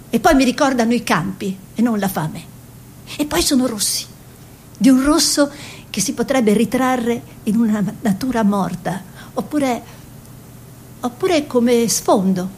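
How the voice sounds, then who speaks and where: An actress playing a young peasant woman painter, dreamy and stubborn, in an expressive theatrical delivery. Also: a little high, slight background noise. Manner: expressive, musing, emphatic repetitions. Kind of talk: theatrical monologue and dialogue.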